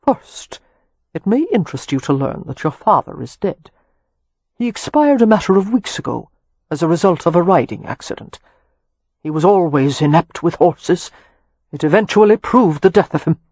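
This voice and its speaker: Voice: mid-range voice, expressive, normal speed, darkish tone, breathy edge, dry recording. A man voicing a shrewd, proud old earl with an Anglo-Irish accent and a dry, graceful delivery in an audiobook. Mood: demanding, dry. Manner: aristocratic, graceful, dry, commanding. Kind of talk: audiobook character dialogue.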